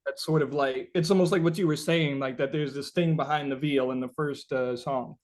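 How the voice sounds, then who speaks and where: Casting: male musician in an interview, fairly high voice, casual, halting, thinks aloud. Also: fairly even intonation, darkish tone. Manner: halting, searching, rambling explanations.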